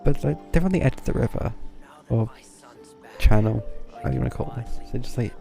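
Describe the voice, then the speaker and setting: Male streamer chatting casually, bit halting, lots of vocal fry, game music clearly behind. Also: medium pitch, warm tone. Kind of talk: casual live commentary.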